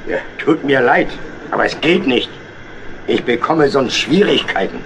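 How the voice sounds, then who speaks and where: A man's voice: mid-range voice, animated, unhurried, a bit bright, a little hiss or hum, low-quality recording. A man playing a jovial, kindly steam locomotive driver in a German children's audio play, with a hearty manner. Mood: kindly, regretful. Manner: hearty, calling out, chuckling.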